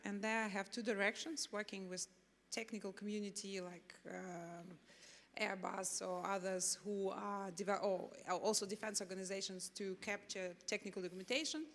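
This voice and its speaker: A woman's voice: medium pitch, steady tone, moderate pace, a bit bright, slight background noise. A woman from Moldova giving a live self-introduction as a panelist. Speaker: panelist, business owner. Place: conference hall, before an audience. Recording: echoey hall with long reverb.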